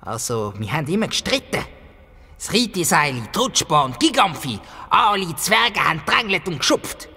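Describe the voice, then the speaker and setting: A woman playing a grumpy, possessive, lonely dwarf in a children's audio play, with a Swiss German accent and excitable, blustering, childlike speech. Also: lowish voice, moderate pace, husky, faint music behind, heavily acted. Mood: sulky confiding. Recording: long cavernous reverb.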